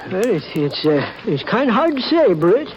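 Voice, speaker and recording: A man's voice: high voice, big pitch swings, moderate pace. An actor playing a fussy, hesitant small-town man who stammers and coaxes, in a 1950s radio drama. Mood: sheepish. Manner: stammering, evasive, coaxing. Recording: dull old radio broadcast with faint hiss.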